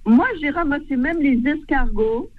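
A woman's voice: sits high, normal speed, dry recording. Woman caller on a tinny phone line. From Algeria. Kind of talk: phone-in chat.

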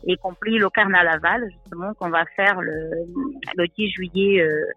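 A woman's voice: fairly low pitch, steady tone, measured pace, no room sound, faint music behind. Brazilian woman, radio guest, hesitant, lo-fi dry sound. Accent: Brazilian Portuguese. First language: Portuguese. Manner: hesitant, many fillers, self-conscious about accent. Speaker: shop owner and association president.

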